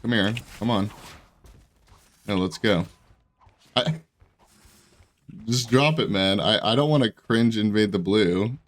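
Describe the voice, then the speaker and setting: A man's voice: on the low side, slow, long pauses. Congested young man rambling over gameplay. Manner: rambling, self-interrupting, laughs often. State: congested, sore throat. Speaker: gaming YouTuber. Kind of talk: casual commentary while playing.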